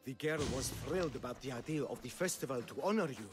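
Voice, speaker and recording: A man's voice: medium pitch, expressive, quick pace, music in the background, lightly acted. A man as an earnest, worried village messenger. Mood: alarmed, grave. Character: earnest, worried villager bearing bad news. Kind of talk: video game cutscene acting.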